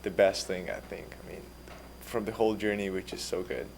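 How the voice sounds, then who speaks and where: A young man, a native Georgian speaker, answers questions in a low, polite, earnest voice. A faint hum runs under the recording. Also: steady tone, a little fast, vocal fry, poor audio. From Georgia (country). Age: teens. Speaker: exchange student. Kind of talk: answering questions at a public meeting.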